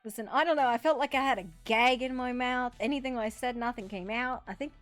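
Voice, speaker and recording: A woman's voice: fairly high pitch, brisk, soft background music. A woman playing a tabletop role-playing game, chatting casually in character, playful and swearing freely.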